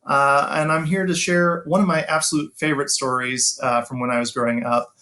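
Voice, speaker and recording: An American man, a schoolteacher, speaking to children as he shares a bedtime storybook in an expressive way. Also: a little high, brisk. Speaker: elementary school teacher reading a bedtime story. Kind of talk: children's storybook read-aloud.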